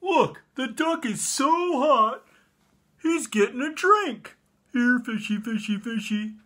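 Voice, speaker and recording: Man putting on an excitable, high-pitched American cloud-watcher. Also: slow, full character voice. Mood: eager. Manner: exclamatory, emphatic, playful arguing. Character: excitable, insistent cloud-watcher sure it's a duck.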